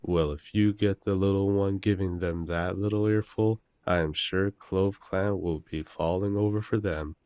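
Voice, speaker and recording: A man playing a level-headed, wry werewolf brother in an audio roleplay, speaking casually and in a measured way. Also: sits low, steady tone, a little mellow, dry recording, lightly acted.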